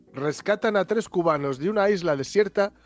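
Chatty, slightly high-voiced man. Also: unhurried, soft background music. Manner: chatty, laughs often, tags remarks with "¿no?". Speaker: radio co-host reading news. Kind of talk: casual radio chat.

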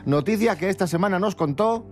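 A high-pitched man hosts a morning radio show. He presents like a quizmaster, with music playing clearly behind him. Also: fairly quick.

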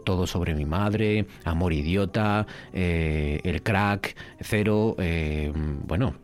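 A man with a very low voice hosts a radio show from the studio. He talks in a chatty way, often stumbles and restarts his sentences, and laughs easily with his guests. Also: a little mellow. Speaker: radio host. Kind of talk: casual radio interview.

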